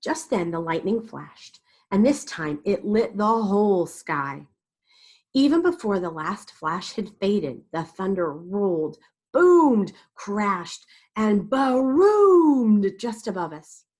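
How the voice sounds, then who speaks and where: A woman's voice: medium pitch, sing-song, takes it slowly, darkish tone. A woman storyteller reading a picture book aloud expressively and dramatically for young children. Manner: dramatic, explains to young listeners.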